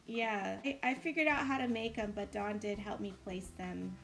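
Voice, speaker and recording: A woman's voice: medium pitch, fairly even intonation, normal speed, vocal fry, a bit bright, soft background music. A woman giving casual, encouraging commentary as host of a game build tour.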